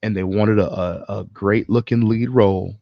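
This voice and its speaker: A man co-hosting a casual podcast, speaking in an opinionated, emphatic way with swearing and halting pauses. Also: on the low side, steady tone, vocal fry, no room sound, lo-fi sound. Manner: profane, emphatic, halting with pauses mid-thought. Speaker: podcast co-host. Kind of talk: casual film discussion, opinionated ranting.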